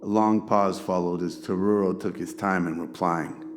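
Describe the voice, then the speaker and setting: A man's voice: sits low, fairly even intonation, moderate pace, noisy background. A man narrating a scripted radio drama as its storyteller. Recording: music behind the voice.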